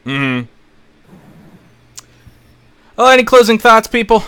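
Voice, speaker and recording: Podcast host guy, kinda high, bright voice, joking around about tabletop games. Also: sing-song, slow pace, clear, resonant tone. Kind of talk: casual tabletop gaming chat.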